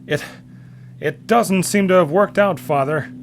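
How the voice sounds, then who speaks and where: A man's voice: sits high, unhurried, gravelly, slight background noise, pitched above the performer's own voice. Man acting a strained, brave young rescue pilot. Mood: groaning strain. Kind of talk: dramatic audio story reading.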